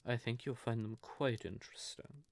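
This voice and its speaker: A man playing a courtly, possessive vampire lover in an audio roleplay, speaking theatrically and deliberately with syrupy endearments and breathy, quietly menacing undertones. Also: medium pitch, fairly even intonation, no echo, close to an everyday voice. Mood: mocking, menacing. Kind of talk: scripted audio roleplay addressed to the listener.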